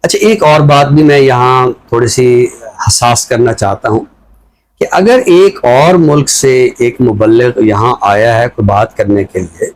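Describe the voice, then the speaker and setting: A man with a Pakistani accent giving reflective talk show commentary in a deliberate, explanatory way, often posing rhetorical questions. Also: medium pitch, poor audio.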